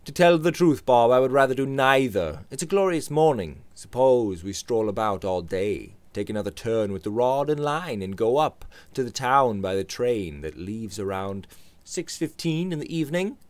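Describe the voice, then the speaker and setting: A man voicing a proud, brooding young gentleman who answers curtly. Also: medium pitch, lively intonation, normal speed, no echo, pitched above the performer's own voice, lightly acted. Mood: frank. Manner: curt and clipped.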